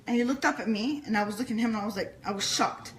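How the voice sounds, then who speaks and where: A woman's voice: a little high, racing, fairly bright tone, a little hiss or hum, low-quality recording. A woman speaking as an interview guest, telling a personal story in an earnest, emphatic way with repeated phrases. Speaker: interview guest, fan club founder.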